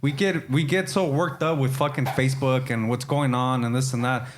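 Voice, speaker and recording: A man's voice: medium pitch, fairly even intonation, moderate pace. A man with a Chicano English accent co-hosting a podcast in casual chat, speaking bluntly and swearing freely. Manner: blunt, profane, outspoken. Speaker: podcast co-host. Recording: faint background noise.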